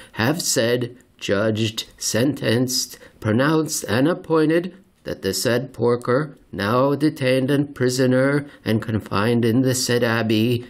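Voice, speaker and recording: A man's voice: medium pitch, natural voice. A man voicing a stern, pompous medieval judge in a solemn, declamatory audiobook-style reading.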